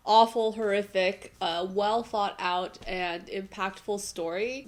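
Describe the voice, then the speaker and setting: A woman with a stuffy, nasal voice from allergies, chatting casually about a book she just finished, laughing lightly now and then. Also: medium pitch. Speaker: book vlogger. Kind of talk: casual book review to camera.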